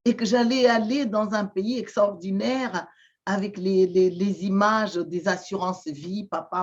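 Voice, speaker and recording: A woman from Madagascar, whose first language is not French, answering interview questions slowly with many pauses, fillers and repeated words. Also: mid-range voice, lo-fi sound. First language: Malagasy. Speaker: guest, shamanic therapist.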